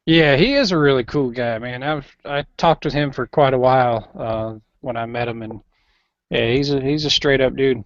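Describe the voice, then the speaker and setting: A man hosting a casual online voice chat, talking in a relaxed, agreeable way. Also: mid-range voice, moderate pace, no echo. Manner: relaxed, agreeable, laid-back. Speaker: chat host. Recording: dry dull lo-fi voice chat audio.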